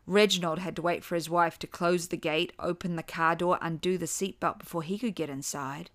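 Casting: female audiobook-style narrator, slightly low pitch, scripted dramatic storytelling. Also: fairly even intonation, brisk, fairly bright tone. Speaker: author and narrator.

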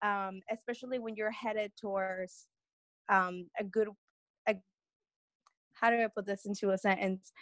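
A woman's voice: medium pitch, steady tone, a little slow, pauses often, fairly bright tone, dry recording. A young woman from Peru, a guest on an interview podcast, telling her story in a rambling, earnest way with many restarts.

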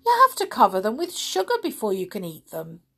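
A woman voicing a scornful, sharp-tongued smaller crocodile who scolds and mocks. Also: mid-range voice, huge pitch swings, a little fast, creaky, breathy edge, exaggerated voice. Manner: scolding, mocking. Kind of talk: storybook read-aloud.

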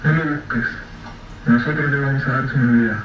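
A man's voice: medium pitch, fairly even intonation, normal speed, voice pushed down, lightly acted. A man voicing a cold male kidnapper making threats over the phone in a cavernous, meticulously cruel tone. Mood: menacing. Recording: echoey, distant, dull lo-fi with faint hiss.

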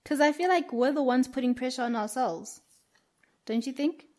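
Young woman, podcast co-host, chatty, laughs a lot, somewhat high voice. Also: normal speed, darkish tone. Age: young adult. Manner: chatty, laughs often, many fillers. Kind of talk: casual chat.

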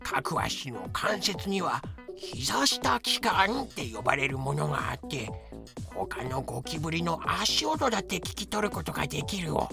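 Male actor as boastful cockroach, comedic voice drama, lively swooping intonation, gravelly edge, cutesy explainer. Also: on the high side, measured pace, music in the background, exaggerated voice. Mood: smug, explanatory. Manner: cutesy verbal-tic sentence endings, explanatory. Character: boastful talking cockroach, male, cheerful know-it-all. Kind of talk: fan-made comedic voice drama, educational banter.

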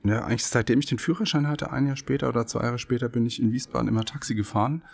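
A man's voice: mid-range voice, steady tone, racing, creaky. A middle-aged man from Hesse, a law professor and guest, chatting easily and telling stories with the odd laugh. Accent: standard German with faint Hessian. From Hesse, Germany. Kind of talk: relaxed podcast conversation.

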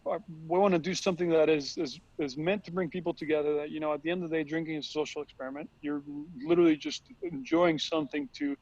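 Halting Costa Rican-born young man. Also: a little high, animated, creaky, darkish tone, dry recording. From Costa Rica. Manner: halting, many restarts and repeated words. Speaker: tequila company founder. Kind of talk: casual interview answers. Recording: dry, slightly dull sound.

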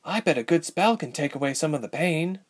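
A man's voice: fairly high pitch, animated, brisk, pitched above the performer's own voice. A man voicing a young woman ice mage in an audiobook story, wary and dryly humorous, with a questioning manner. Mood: weary. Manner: questioning, dry.

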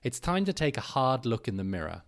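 A man's voice: mid-range voice, sing-song, a little fast. A man giving a scripted narration, reading an article aloud.

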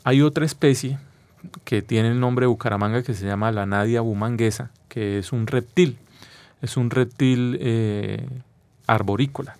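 Male Colombian expert guest, mid-pitched, didactic and conversational, very dry recording. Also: moderate pace, a little mellow, clear, resonant tone, no room sound. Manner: didactic, often checks with a tag question. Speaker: biologist, interview guest. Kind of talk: conversational explanation in an interview.

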